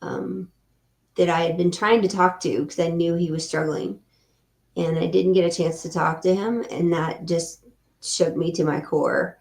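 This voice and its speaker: A woman in her mid-fifties hosts an interview, speaking earnestly and encouraging her guest. Her voice sits a little low for a woman. The recording sounds roomy, with clear echo around her. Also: fairly even intonation, measured pace, a little mellow. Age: 50s. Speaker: podcast host. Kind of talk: podcast interview.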